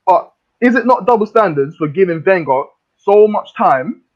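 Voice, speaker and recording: 20-something guy, highish voice, keeps pushing the question, dull lo-fi sound. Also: expressive, normal speed. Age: 20s. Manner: persistent, pressing questions. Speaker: fan panelist. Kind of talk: debate on a stream.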